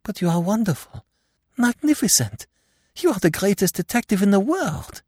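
A man's voice: on the high side, very animated, normal speed, no echo, clean studio sound, pitched above the performer's own voice. A man voicing a passionate, emotional young Frenchwoman, speaking in a heated, dramatic way. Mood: pleading.

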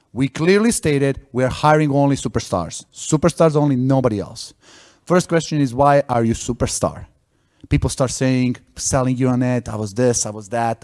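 Man, business talk, animated, mid pitch. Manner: non-native phrasing, animated and enthusiastic. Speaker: business owner giving a talk. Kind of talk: informal business presentation.

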